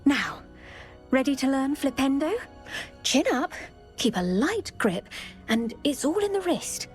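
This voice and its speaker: A bubbly plant-loving professor talks to her student in a high, sing-song voice. Her speech is cheerful and encouraging, and faint game music plays behind. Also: lively intonation, measured pace. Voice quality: bubbly and enthusiastic. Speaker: herbology professor.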